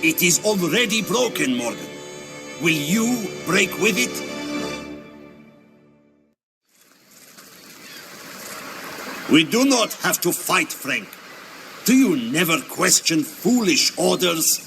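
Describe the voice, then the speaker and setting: A man's voice: sits high, sing-song, a little slow, gravelly, over music, exaggerated voice. An actor playing a proud, scornful Ottoman commander in a video game cutscene, declaiming and taunting. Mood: persuading, challenging.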